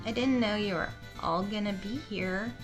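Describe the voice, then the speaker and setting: A woman's voice: medium pitch, moderate pace, soft background music, close to an everyday voice. A woman voicing a mild, eager man on a date in a fantasy story, polite and slightly awkward.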